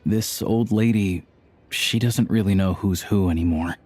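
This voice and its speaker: A man playing a patient, caring character in a video game, speaking gently and earnestly. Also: sits low, measured pace. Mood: matter-of-fact. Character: patient, caring man who looks after an old woman. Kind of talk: video game voice acting, dialogue and exposition. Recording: background music under the dialogue.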